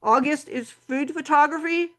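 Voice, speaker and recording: Woman, club host, fairly high voice, meandering announcements full of fillers. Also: moderate pace, pushed and bright. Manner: rambling, many fillers and restarts. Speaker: camera club meeting host.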